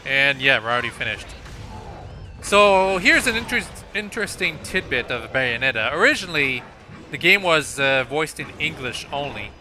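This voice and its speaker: A man's voice: fairly high pitch, sing-song, normal speed. A man giving casual, explanatory commentary on a video game, with some hesitation as he goes. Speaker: let's-play commentator. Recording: loud game music under the voice. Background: loud game music.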